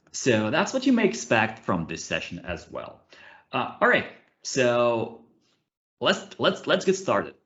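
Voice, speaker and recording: A man in his thirties with a Russian accent presents online, his low voice rising and falling in lively swings. He stumbles through fillers and restarts. The call audio sounds dull and muffled. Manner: hesitant, many fillers and restarts, animated. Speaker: conference speaker, ethical hacker. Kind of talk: webinar presentation with live demos. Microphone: computer mic on a video call.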